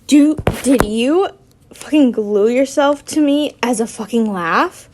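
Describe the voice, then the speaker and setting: An American woman voicing a haughty, panicky teenage wizard boy in a story, shrill and sweary. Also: slow, noisy background. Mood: panicked.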